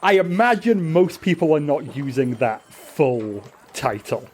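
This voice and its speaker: A man narrating his character in a tabletop roleplaying game with deliberate, literary wording. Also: on the high side, big pitch swings, a little mellow, husky.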